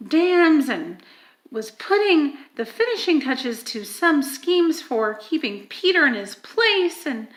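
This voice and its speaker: A woman reading a children's story aloud in an expressive, dramatic manner, with emphatic stresses and hushed moments. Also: high-pitched. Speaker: audiobook reader.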